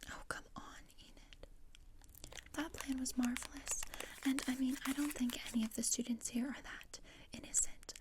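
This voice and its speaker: A woman playing a morbid, scheming, deadpan teenage girl in a whispered ASMR roleplay, with a measured, dryly macabre manner. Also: high voice, natural voice. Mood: dry, plotting.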